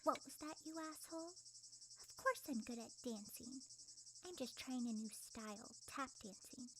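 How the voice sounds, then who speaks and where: A woman's voice: sits high, animated, measured pace. A woman playing a haughty, easily irritated young female student dancer in a roleplay, brusque and proud. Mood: indignant. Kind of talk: ASMR roleplay acting. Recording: clearly noisy, crickets and ambience behind.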